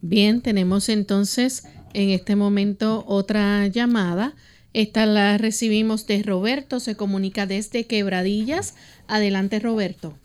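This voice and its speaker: A woman's voice: medium pitch, fairly even intonation, darkish tone, clean tone. A woman hosting a radio call-in show, politely introducing callers and asking them to repeat. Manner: polite, brisk moderating. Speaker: radio host.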